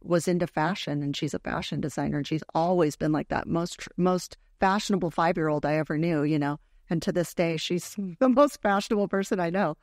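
A middle-aged woman hosts a podcast from a studio in a chatty, affirming way, drawing her guest out with questions. Her voice sits a little low, recorded close and dry on a studio mic. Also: a little fast, hardly any pauses, a little mellow, dry recording. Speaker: podcast host. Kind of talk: casual interview chat. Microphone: headphones and studio microphone.

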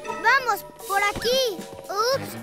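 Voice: very high voice, very slow, exaggerated voice. A performer voicing an earnest, plucky young boy superhero in a Latin American Spanish cartoon dub, eager and exclamatory. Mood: playful, eager. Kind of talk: animated children's series dub. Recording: loud children's music underneath. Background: loud music.

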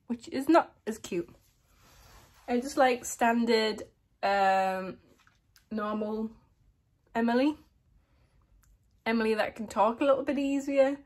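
Young woman vlogging on her phone, slight lisp, a bit high voiced, giggly and relaxed. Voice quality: slight lisp from a lip plate. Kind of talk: casual chat to camera. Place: small room indoors.

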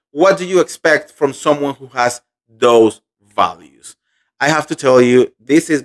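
A man dishes celebrity gossip with animated indignation, repeating words for emphasis. He talks straight into a close studio mic in a room at home. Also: medium pitch. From Colombia. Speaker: pop culture gossip host. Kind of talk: gossip commentary vlog. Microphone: studio microphone on boom arm.

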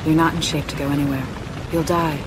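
A woman's voice: low voice, little pitch movement, fast, lightly acted. A woman voicing a cool but concerned young assassin in a game, controlled in manner. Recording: loud rain and noise.

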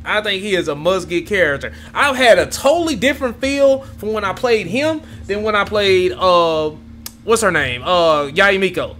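A young man reacting to gameplay from a home room, excitable and swearing freely as he talks to his viewers. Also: high voice, normal speed, faint music behind. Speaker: gaming YouTuber. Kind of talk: casual gameplay reaction commentary. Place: home room with gaming chair.

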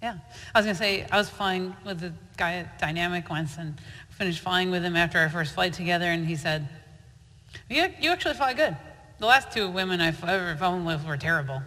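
A woman's voice: on the low side, expressive, fairly quick, bright, forceful tone. A woman interviewing a guest on stage, adding short backchannels and laughing asides. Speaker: interviewer, aviation instructor. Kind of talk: onstage interview. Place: large auditorium stage. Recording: distant, reverberant auditorium sound.

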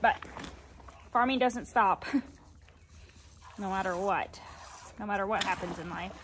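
A woman's voice: medium pitch, slow, a little hiss or hum. A woman in her thirties, a farmer vlogging casually to a phone held at arm's length outdoors on a farm, talking in a chatty, rambling, down-to-earth way and sounding sore and tired. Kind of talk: casual vlog chat to camera. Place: outdoors on a farm, by fields and a cattle barn.

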